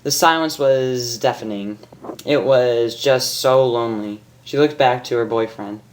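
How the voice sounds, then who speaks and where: A man's voice: medium pitch, measured pace. A man narrating a story as an amateur reader, often stumbling and repeating words. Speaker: fan fiction reader.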